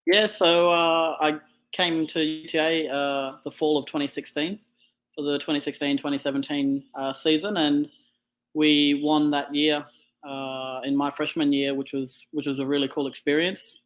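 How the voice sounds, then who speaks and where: A man's voice: fairly high pitch, little pitch movement, moderate pace, a bit bright, rough recording. A young Australian man in his twenties, talking casually as an athlete guest in an interview. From Darwin, Australia. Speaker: college wheelchair basketball player, guest.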